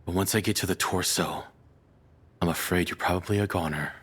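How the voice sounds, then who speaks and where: A low, breathy male voice for an obsessive, unhinged captor acted by a man in an audio roleplay. He confides softly and haltingly, tender toward his beloved yet calmly menacing. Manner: soft, confessional, hesitant, calmly menacing. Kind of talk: intimate scripted audio roleplay monologue.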